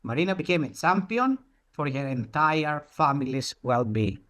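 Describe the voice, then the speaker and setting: A presenter with a very animated, mid-pitched voice delivers a prepared talk. The phrasing is deliberate and storylike, with key points repeated for emphasis and plenty of rise and fall.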